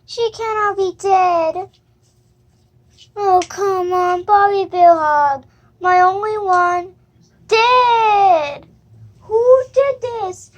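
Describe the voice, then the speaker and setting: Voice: very high voice, very slow, pauses often, dull audio, exaggerated voice. A young female amateur voicing a hot-tempered, melodramatic cartoon girl critter who threatens and declares hate. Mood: grieving, vengeful. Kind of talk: amateur character voice acting.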